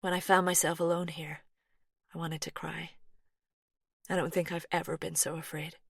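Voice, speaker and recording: Narrator voicing a soft-spoken, anxious young American woman; low voice, dry read. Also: little pitch movement, moderate pace, creaky, slightly rough, no echo, heavily acted. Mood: afraid. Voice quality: soft, firm.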